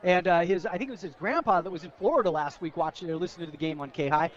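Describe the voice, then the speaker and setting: American man, very quick talker, slightly high voice, conversational football color commentary, muffled lo-fi radio sound. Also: slight background noise. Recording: dull lo-fi outdoor radio broadcast.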